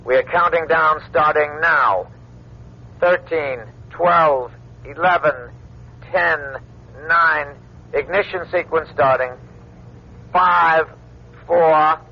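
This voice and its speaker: An actor playing a calm male mission control announcer in a radio drama, measured, official and clipped. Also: fairly high pitch. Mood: official, tense. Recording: muffled radio transmission over engine noise.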